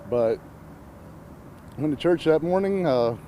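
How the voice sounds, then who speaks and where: A man's voice: a little high, lively intonation, unhurried, dark tone, a little hiss or hum. A man interviewed outdoors by a road, telling his story earnestly and with grateful feeling. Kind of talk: news interview soundbite.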